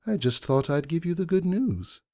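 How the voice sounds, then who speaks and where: Man voicing a soft, loving changeling mare. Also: on the high side, expressive, moderate pace, pitched above the performer's own voice. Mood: affectionate. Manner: soft, affectionate. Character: tender, loving female changeling posing as model mare. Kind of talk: dramatic fan fiction reading.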